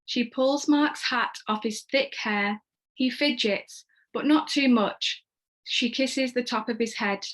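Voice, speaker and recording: A woman's voice: on the high side, slow, fairly bright tone. A woman giving scripted narration, reading out screenplay stage directions. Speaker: stage-direction reader and host.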